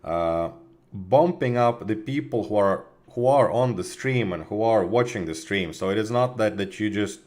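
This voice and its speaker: Hesitant, slightly hoarse man streaming. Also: fairly low pitch, moderate pace, a little mellow. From Russia. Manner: hesitant, many fillers and restarts, clears throat often. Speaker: community manager of a game studio. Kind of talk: casual livestream chat with viewers.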